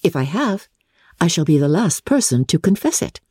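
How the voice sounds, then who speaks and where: A woman voicing a proud, composed young gentlewoman in a storybook reading, politely firm and coolly defiant. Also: sits low, very animated, husky, dry recording, clean studio sound, voice pushed up, full character voice. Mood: calm defiance. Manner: cool, measured, politely firm.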